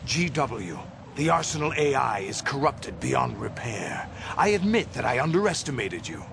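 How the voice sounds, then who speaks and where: A man's voice: medium pitch, sing-song, moderate pace, creaky, noisy background. An actor playing a commanding, vengeful older male game villain, theatrical and menacing in delivery. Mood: cold menace. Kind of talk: video game cutscene acting.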